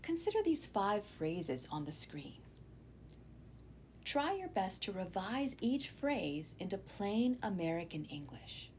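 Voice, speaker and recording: Animated woman narrating a lesson. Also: medium pitch, takes it slowly, slight background noise. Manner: explanatory, addresses students directly. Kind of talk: scripted educational narration.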